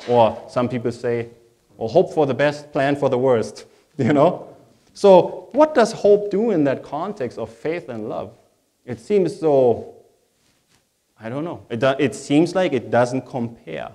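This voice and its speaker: A man's voice: medium pitch, animated, a little slow, warm tone. A man with a German accent, a native German speaker, preaching a sermon as a pastor in a reflective, conversational way, repeating phrases for emphasis.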